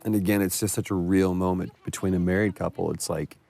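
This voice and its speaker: Deep, gravelly man giving commentary. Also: steady tone, fast talker, a little mellow. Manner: enthusiastic, reflective. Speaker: actor commenting on his role. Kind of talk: cutscene commentary.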